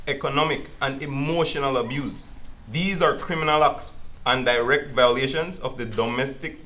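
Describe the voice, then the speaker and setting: A man's voice: a little high, bright, forceful tone. A man playing a stern, formal family court judge in a dramatized hearing. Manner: formal, authoritative.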